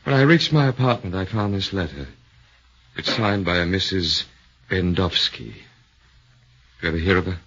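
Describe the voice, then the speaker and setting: An actor playing an earnest, somewhat hesitant defense lawyer in a 1940s radio play. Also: male voice, low voice, normal speed, long pauses, a bit bright, breathy edge, heavily acted. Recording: dull lo-fi old radio broadcast.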